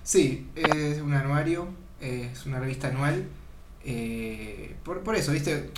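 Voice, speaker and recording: A man's voice: medium pitch, takes it slowly. A man hosting a casual chat, talking loosely with plenty of fillers. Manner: relaxed, rambling with fillers. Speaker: podcast host. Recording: echoey room with clear noise.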